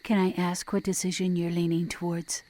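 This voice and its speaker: A woman playing a supportive, hopeful wife in an audio drama, earnest and gently persuasive. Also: fairly low pitch, flat delivery, moderate pace, natural voice. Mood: calm, curious. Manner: reassuring, earnest.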